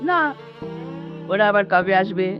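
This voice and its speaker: A woman's voice: medium pitch, big pitch swings, a little slow. A woman playing a confused, childlike elderly mother in a radio drama, rambling and repeating herself in a sing-song way. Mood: childlike delight. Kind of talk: Bengali radio drama acting. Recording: dull lo-fi audio with music behind.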